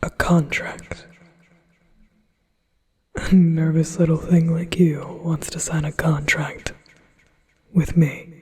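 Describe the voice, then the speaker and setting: A man's voice: on the high side, level tone, slow pace, long pauses, slightly rough, full character voice. Man whispering as a silky possessive demon. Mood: coaxing. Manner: soft intimate whisper, silky and persuasive. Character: flattering possessive male demon, calm and coaxing. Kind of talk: whispered ASMR roleplay.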